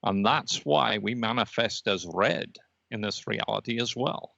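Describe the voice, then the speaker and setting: A man playing a smug, condescending energy being in an improvised comedy interview, with measured, formal phrasing. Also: fairly low pitch, gravelly, a bit bright, no room sound, muffled recording, exaggerated voice. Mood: condescending, explanatory. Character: smug male energy being, a red laser dot.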